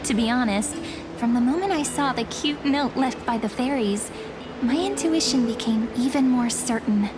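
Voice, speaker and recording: An actress playing a gentle, teasing young woman in a video game, speaking softly and serenely. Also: on the high side, normal speed, natural voice. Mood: tender, wistful. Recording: background music under the voice.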